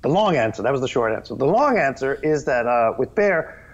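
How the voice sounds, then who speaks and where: Animated, rambling man telling stories. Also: mid-range voice, a little fast, highs cut off. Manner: animated, rambling, with many restarts. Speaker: guest, children's TV performer. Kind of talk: casual interview storytelling.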